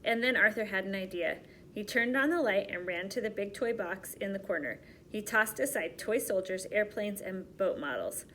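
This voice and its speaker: A woman teacher reading a storybook aloud to young children and giving simple directions in an expressive way. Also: medium pitch, normal speed, slight background noise, rough recording.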